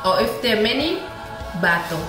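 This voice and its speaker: A young woman, a native Ebembe speaker, teaches a casual online lesson in quick, friendly explanations and drills each word by repeating it. Her voice rings around a very echoey small room. Clear music plays behind her. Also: fairly high pitch, expressive. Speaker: language teacher.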